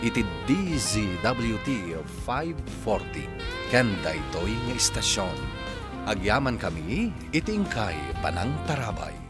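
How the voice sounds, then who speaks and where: Guy announcing a radio drama, slow and expressive, music playing behind him. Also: on the low side.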